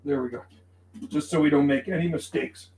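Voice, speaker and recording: A man's voice: fairly high pitch, fairly even intonation, a little fast, darkish tone. A man chatting casually as a CB radio operator on a livestream, folksy and repetitive, using radio slang. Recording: roomy sound with faint background noise.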